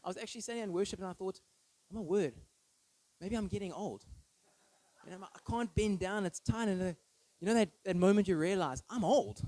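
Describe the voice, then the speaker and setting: A man's voice: high-pitched, a little fast, dark tone, dry recording, low-quality recording. A thirty-year-old man giving an informal, conversational sermon with personal stories, often checking in with listeners through short tag questions. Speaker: preacher.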